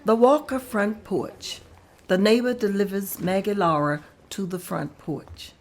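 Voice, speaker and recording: A woman narrating the stage directions at a table read in a plain, steady way. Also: mid-range voice, measured pace.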